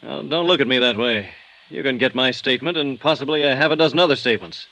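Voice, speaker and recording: A worried, resigned American expert in a 1950s radio detective serial. His voice is mid-range and tense, with a bright edge and a reluctant, bitter manner. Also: mid-range voice, fast talker, low-quality recording. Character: uneasy, fearful building expert, adult man, resigned and bitter.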